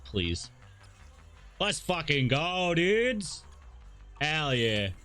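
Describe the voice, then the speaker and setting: A man's voice: on the high side, big pitch swings, drawn-out words, pushed and bright. A man livestreaming a game in a casual, irreverent way, slangy and sweary as he reads dialogue and reacts. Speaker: streamer. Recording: game music behind the voice.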